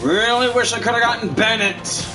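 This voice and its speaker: A man live-streaming a video game, reacting in drawn-out exclamations. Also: very high-pitched, steady tone, recorded from a distance, music in the background, poor audio.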